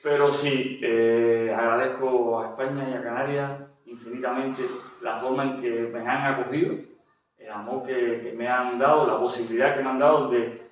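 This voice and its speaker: A man's voice: medium pitch, level tone, moderate pace, heavy reverb, distant mic, highs cut off. A man with a Cuban Spanish accent giving his testimony as an interview guest, speaking hesitantly with many fillers and restarts. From Cuba. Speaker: interview guest, general doctor.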